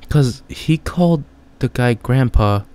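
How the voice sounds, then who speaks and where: A man's voice: mid-range voice, expressive, slow pace, darkish tone. A young man playing a game casually, reading its text aloud and joking about it. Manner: chatty, reads text then jokes about it. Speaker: gamer.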